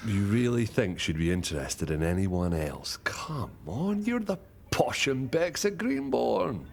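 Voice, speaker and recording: A man's voice: lowish voice, sing-song, unhurried, gravelly, a little mellow, full character voice. An actor playing a blunt, cheeky, matey young man in a radio soap. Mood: incredulous.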